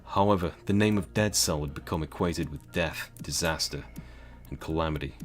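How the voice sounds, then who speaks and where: British guy reading aloud with chatty asides, very low voice, faint music. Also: moderate pace. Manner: chatty, swearing, laughs at own jokes. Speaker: streamer reading a game novel. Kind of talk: reading aloud with casual commentary.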